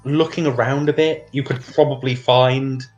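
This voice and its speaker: A man narrating casually as game master, improvising and thinking aloud in a halting way. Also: medium pitch, steady tone, darkish tone, muffled recording, soft background music.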